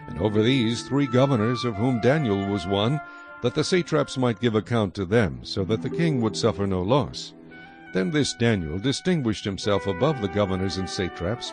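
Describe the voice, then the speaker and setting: A man narrating dramatized scripture in a measured, formal manner. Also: on the low side.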